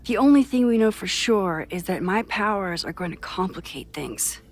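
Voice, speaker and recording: A woman's voice: mid-range voice, animated, moderate pace. A woman acting an anxious, guilt-ridden young American woman in a video game, with a wry, self-doubting manner. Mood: worried, doubtful. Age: young adult. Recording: music under the voice. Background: game music.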